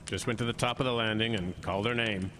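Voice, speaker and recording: A man's voice: lowish voice, fairly even intonation, quick pace, lightly acted. An actor playing an earnest, worried male park ranger, plainspoken and urgent, in an audio drama. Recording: noisy storm ambience behind.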